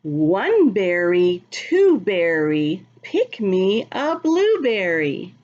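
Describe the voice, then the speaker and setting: A woman reading a picture book aloud to children in a teacherly, expressive, sing-song way, explaining and asking questions as she goes. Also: mid-range voice, drawn-out words, highs cut off. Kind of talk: read-aloud storytime with asides to children.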